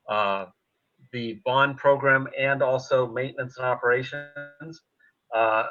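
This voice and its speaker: A man explaining haltingly as an official at a public meeting, on slightly dull call audio. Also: medium pitch, pushed and bright. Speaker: school district facilities official.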